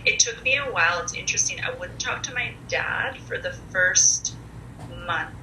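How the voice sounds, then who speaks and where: A woman in her forties, a podcast guest with a loud, comfortable voice, telling her story in a chatty, candid way full of fillers. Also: mid-range voice, animated, normal speed. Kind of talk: casual conversational storytelling. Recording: echoey room with faint noise.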